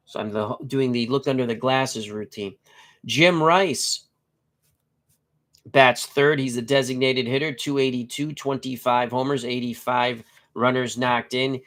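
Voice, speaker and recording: A man's voice: mid-range voice, fairly even intonation, slow, pauses often, fairly bright tone. Congested, nasal middle-aged man chatting. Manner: chatty and joking, reads player stats in short clipped bursts. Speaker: host of a tabletop baseball live stream. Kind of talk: casual live-stream chat and lineup reading.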